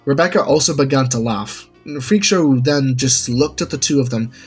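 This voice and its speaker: Man, story narrator, husky tone, scripted reading, light music underneath. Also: medium pitch, normal speed. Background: background music.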